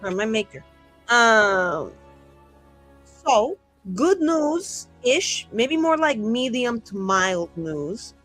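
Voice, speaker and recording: A woman's voice: a little high, big pitch swings, drawn-out words, a bit bright. Woman playing a ttrpg, rambly wry casual talk, swears a bit, takes her time, faint music under. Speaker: player in a tabletop roleplaying game. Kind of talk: casual improvised roleplay chat.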